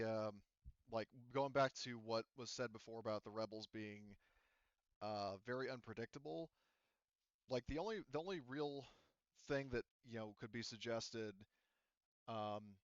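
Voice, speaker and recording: A man running a tabletop game as game master, explaining the situation off the cuff in a halting, rambling way and often qualifying himself. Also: medium pitch, smooth, dry recording, highs cut off.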